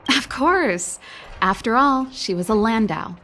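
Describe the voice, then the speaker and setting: A woman's voice: fairly high pitch, lively intonation, unhurried, bright, forceful tone, heavily acted. A woman playing a breezy, confident older-sister mechanic in a video game, chatty and teasing with a nostalgic streak. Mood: proud, storytelling.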